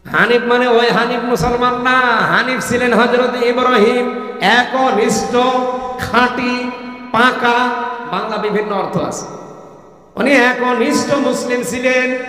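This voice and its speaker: Male Islamic preacher, Bangladeshi accent, high voice, emphatic call-and-response delivery, long hall reverb. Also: fairly bright tone. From Kushtia, Bangladesh. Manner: emphatic, prompting listeners to respond, rhetorical questions. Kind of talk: waz sermon to a congregation.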